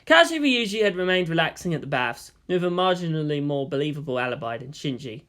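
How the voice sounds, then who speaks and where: A man reading fan fiction as an expressive scripted narration. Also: fairly high pitch, quick pace.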